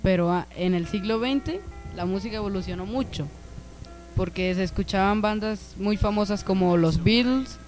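A man playing a high-voiced, opinionated music buff. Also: normal speed, a little mellow, lightly acted. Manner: lecturing and matter-of-fact. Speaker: radio drama character. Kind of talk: amateur radio drama acting.

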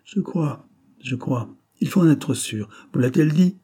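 Storybook doctor, middle-aged man, voiced by a male narrator: bossy yet kindly, blunt questioner, husky tone. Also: mid-range voice, animated, a little slow, dark tone, pitched above the performer's own voice. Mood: impatient, insistent. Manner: curt, commanding, firing questions.

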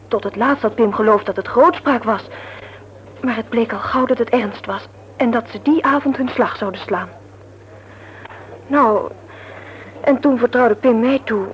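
A woman's voice: on the high side, natural voice. An actress playing an earnest, anxious young woman, confiding and hesitant, in a vintage radio serial. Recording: dull, noisy old radio drama recording.

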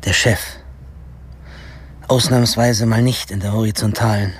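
A man's voice: fairly low pitch, fairly even intonation, unhurried, a little hiss or hum, lightly acted. An actor playing a defiant sixteen-year-old schoolboy who tells his story in a dry, sarcastic, crude way in a radio drama. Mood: dry narrating. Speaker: lead actor and narrator. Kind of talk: German radio drama, first-person narration and dialogue.

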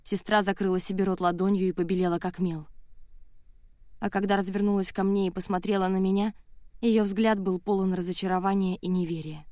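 A woman's voice: mid-range voice, flat delivery, fairly quick, dark tone, no room sound. A woman audiobook narrator reading a dramatic first-person novel, close on the mic in a studio.

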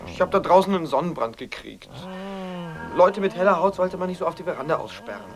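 A man's voice: high voice, expressive, fast, music in the background. An actor playing a whining, complaining male companion in a radio drama. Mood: whining, aggrieved. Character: sunburnt, complaining man, the wife's companion. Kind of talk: German radio drama acting.

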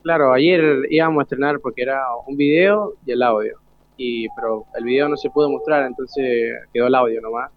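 A man's voice: medium pitch, brisk. A teenage male student with an Argentine accent, telling his experiences informally in an interview. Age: teens, about 17. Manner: informal, youthful storytelling. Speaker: student guest. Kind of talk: casual interview talk. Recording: tinny, phone-like live broadcast sound.

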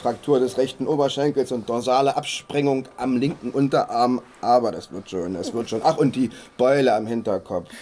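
An actor playing a sardonic police detective in a radio drama, teasing and wryly polite. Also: male voice, mid-range voice, moderate pace, few pauses, darkish tone, a little hiss or hum, natural voice.

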